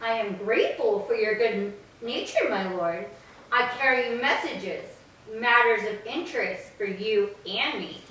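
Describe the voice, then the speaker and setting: A woman playing a proud, vengeful goddess with formal, deliberate delivery in a staged reading of Greek tragedy. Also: a little high, bright, forceful tone. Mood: formal, courteous. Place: echoing church interior. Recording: very echoey hall, distant mic.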